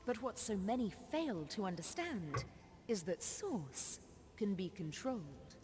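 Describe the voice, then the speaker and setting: A woman's voice: mid-range voice, big pitch swings, over music. Solemn witch in long reverb. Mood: proud, solemn. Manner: measured, solemn, formal storytelling. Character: sorrowful witch woman, a proud, confessional forest sorceress. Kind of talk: dramatic fantasy video game monologue. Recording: long reverb on game dialogue.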